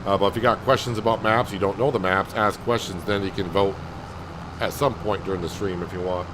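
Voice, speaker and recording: A man with a fairly low voice talks in a calm, soothing way during a livestream, musing over his farm chores. He wanders from thought to thought without hurry. Farm machinery and engine sounds from the game stay clearly audible behind him. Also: brisk, background noise. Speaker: game streamer. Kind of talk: casual gameplay commentary.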